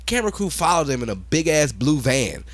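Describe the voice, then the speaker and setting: A man's voice: a little high, a little fast, no echo. Slangy man swapping stories, close dry sound. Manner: slangy, profane, animated retelling with quoted asides. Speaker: podcast co-host. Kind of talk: casual chat, storytelling.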